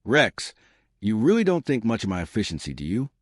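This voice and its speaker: A man's voice: lowish voice, huge pitch swings, brisk, no room sound, clean studio sound. A man voicing a small, fierce woman space captain in an audio story, wry, teasing and confident. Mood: defiant, teasing.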